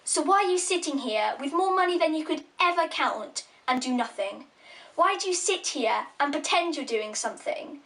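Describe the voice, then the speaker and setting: A woman's voice: sits high, expressive, moderate pace, fairly bright tone, recorded from a distance. A twelve-year-old girl from England, earnestly challenging world leaders in a recorded speech.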